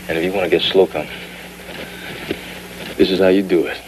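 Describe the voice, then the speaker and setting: Actor as a grim prison inmate, very low, intense, sermon-like; old film audio with slight noise. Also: male voice, fairly even intonation, a little fast, darkish tone, lightly acted, rough recording. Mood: menacing. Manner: intense, preaching delivery. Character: hardened male prison inmate, intense mentor.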